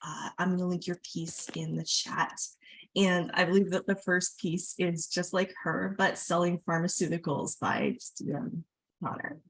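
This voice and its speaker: Woman, lower-pitched, slightly husky, informal event host, welcoming and introducing. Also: rough recording. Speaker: host of a literary launch reading.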